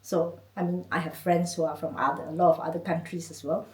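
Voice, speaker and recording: A woman from Malaysia with a Malaysian accent, chatting as a guest in an interview, animated and often laughing. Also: fairly low pitch, a little fast. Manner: animated, laughing, chatty with many "you know"s. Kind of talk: casual interview. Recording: echoey room, mic at a distance.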